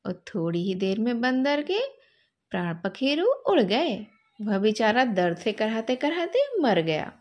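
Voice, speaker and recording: Animated high-voiced woman storyteller. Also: unhurried. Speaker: children's storyteller. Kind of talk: expressive storytelling for children.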